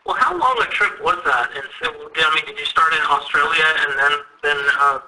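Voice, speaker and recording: A man's voice: on the high side, expressive, normal speed, bright, forceful tone, low-quality recording. A man hosting an interview, asking his guest friendly, curious questions. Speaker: host. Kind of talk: casual interview.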